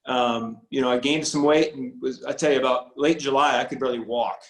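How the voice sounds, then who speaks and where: A man in his forties, a high school football coach, talking casually on a video call, rambling with many restarts and fillers. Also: steady tone, a little fast. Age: 40s. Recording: roomy echo on video call audio.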